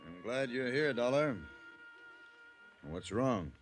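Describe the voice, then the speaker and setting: A man's voice: mid-range voice, expressive, takes it slowly, faint music behind. A man playing a wealthy, guarded, domineering businessman and father with a curt, controlled manner in an old-time radio drama. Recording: noisy vintage radio recording with clicks.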